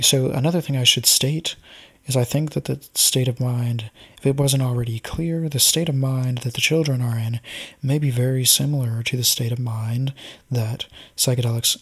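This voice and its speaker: A man giving an unscripted explanatory monologue, speaking in a thoughtful, speculative way with frequent pauses and ums. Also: mid-range voice, a bit bright, husky.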